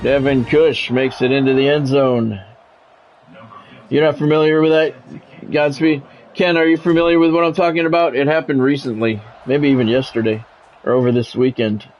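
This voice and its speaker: A man's voice: medium pitch, fairly even intonation, normal speed, muffled recording, slight background noise. A middle-aged American man streaming a sports video game, mixing casual chat with punchy announcer-style play-by-play. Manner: conversational, switching into punchy announcer-style calls.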